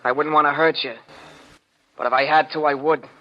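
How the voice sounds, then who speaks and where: An actor playing a young robber who threatens with taunting, tough politeness in a 1940s radio drama. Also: male voice, on the high side, little pitch movement, a little fast, lightly acted. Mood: menacing. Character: menacing young male robber, coolly threatening. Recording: dull, slightly noisy old radio recording.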